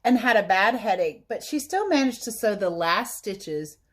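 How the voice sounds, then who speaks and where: A woman reading a story aloud as a teacher, pausing to explain things simply to young listeners. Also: medium pitch, expressive. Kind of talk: read-aloud storytelling with explanatory asides for children.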